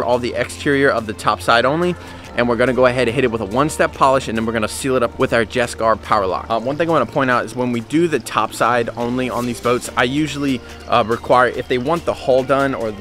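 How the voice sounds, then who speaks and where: An upbeat young man chats straight into a handheld camera, full of "guys" and easy fillers as he walks through a how-to. His voice sits at an ordinary middle pitch and is recorded outdoors with faint music underneath. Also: a bit bright. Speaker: boat detailing business owner. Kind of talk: casual how-to vlog. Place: outdoors at a sunny marina. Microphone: handheld camera at arm's length.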